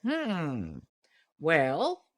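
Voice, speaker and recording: A woman's voice: sits low, wildly swooping pitch, extremely slow, no echo, studio quality, exaggerated voice. A woman playing a very old, shrewd country deacon in a storybook, piping and folksy in an old-timer's manner. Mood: shrewd, scornful. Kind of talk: storybook character voice in audiobook reading.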